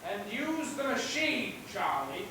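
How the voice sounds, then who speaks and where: Woman acting confident professor, high bright voice, formal, explanatory, roomy stage recording. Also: sing-song, slow pace. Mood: reassuring. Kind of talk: amateur student stage acting. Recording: echoey stage, distant mic, faint hiss.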